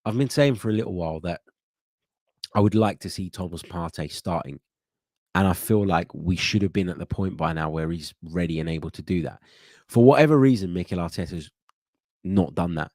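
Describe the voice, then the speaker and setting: Deep-voiced congested man. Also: brisk, a little mellow, dry recording, clean studio sound. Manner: thinking aloud, many fillers and restarts. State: congested, blocked nose. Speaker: football channel host. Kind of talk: casual match preview chat.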